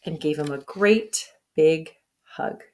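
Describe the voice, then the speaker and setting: Woman, teacher, picture book read-aloud, expressive, asks listeners questions. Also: low voice, slow pace.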